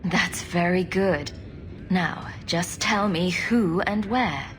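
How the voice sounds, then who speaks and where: An actress playing a diplomatic female adventurer in a video game, composed, courteous and gently questioning. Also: on the low side, takes it slowly, a little hiss or hum. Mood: calm probing. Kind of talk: video game cutscene acting.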